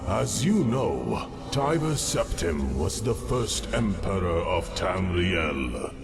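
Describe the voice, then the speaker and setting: An actor playing a cold, scheming man of mystery in a video game, speaking in a measured, formal and deliberate way. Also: low voice, sing-song, exaggerated voice. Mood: grave, confiding. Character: cold, scheming male mystery figure granting dark errands. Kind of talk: scripted video game dialogue. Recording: game music behind the voice. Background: music.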